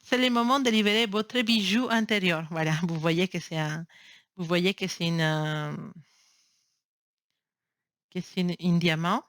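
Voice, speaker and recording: A woman's voice: fairly low pitch, a little slow, pauses often, a bit bright, no echo, clean studio sound. A woman who is not a native speaker, reading tarot cards to camera in a casual, affectionate way, often stopping to search for words and restarting phrases. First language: not French. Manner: affectionate, encouraging. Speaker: tarot reader. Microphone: built-in camera mic.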